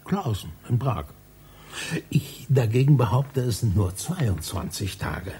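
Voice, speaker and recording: An actor playing an elderly, obsessive librarian and spider researcher in a satirical radio play, lecturing pedantically and reproachfully in a raspy voice. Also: male voice, mid-range voice, animated, unhurried, dark tone, slight background noise. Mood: pedantic, proud. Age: older. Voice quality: husky, raspy.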